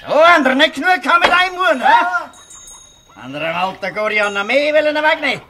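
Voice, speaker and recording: Blustering old Swiss fisherman, swooping pitch. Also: lively intonation, fairly quick, background noise. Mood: scolding, gloating. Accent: Swiss German. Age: older. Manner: blustering, ends taunts with a questioning "hä". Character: gruff old fisherman, scolding, shrewd, softening. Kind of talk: dialect radio play acting.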